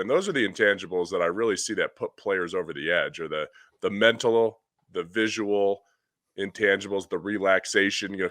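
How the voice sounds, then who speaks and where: Hitting coach, man, low pitch, dry mic, explains with many restarts. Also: bright, forceful tone, no echo. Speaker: hitting coach and podcast host. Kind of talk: casual podcast discussion.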